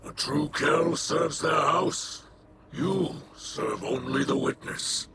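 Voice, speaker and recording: A man playing a weary, stern alien elder and protective leader in a video game, grave and terse, with a breathy creature rasp. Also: fairly high pitch, slow pace, very noisy. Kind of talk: dramatic video game cutscene acting. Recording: loud music under the voice.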